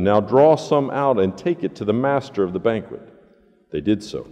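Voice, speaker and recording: A pastor in his sixties preaches in a mellow male voice. His phrasing is deliberate and emphatic, with a lively rise and fall in pitch. The sanctuary adds a long echo around his words. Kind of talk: sermon with scripture reading and prayer. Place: large church sanctuary, indoors. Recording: echoey church sanctuary.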